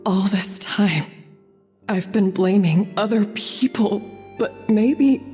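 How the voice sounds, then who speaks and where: A troubled, self-doubting game character. Her soft-edged, mellow voice is slow and halting, drenched in long reverb. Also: medium pitch, husky, soft background music. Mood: remorseful. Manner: halting, subdued. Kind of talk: dramatic video game voice acting.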